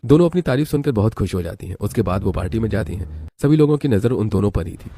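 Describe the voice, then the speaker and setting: A man's voice: fairly low pitch, expressive, fast, dark tone, slight background noise. A man reading scripted narration for a story.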